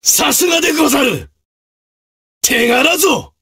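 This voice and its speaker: An actor voicing a brave, martial samurai officer in a video game, with gruff, raspy, declamatory delivery in archaic period speech. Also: male voice, very high-pitched, animated, a little slow, full character voice. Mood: rousing battle cries. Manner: forceful, declamatory.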